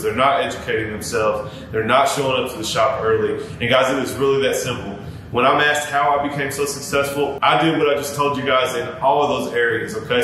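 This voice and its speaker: A man in his thirties, a barber giving earnest advice straight to camera in a casual, repetitive way, addressing viewers as guys. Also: a bit bright. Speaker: barber and YouTuber. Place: home barber studio, indoors. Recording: very echoey room with faint rumble.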